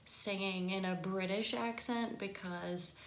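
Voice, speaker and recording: A woman's voice: mid-range voice, fairly even intonation, slow pace. A woman from the northern United States with an Upper Midwest accent and a nasal voice, chatting casually as a singing teacher and laughing easily. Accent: Upper Midwest American. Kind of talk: casual instructional talk to camera. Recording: echoey room.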